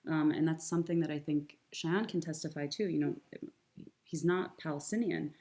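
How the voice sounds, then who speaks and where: Low, mellow-voiced young American woman. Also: moderate pace. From United States. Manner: earnest, thoughtful, many fillers and restarts. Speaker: guest speaker. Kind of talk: conversational panel talk.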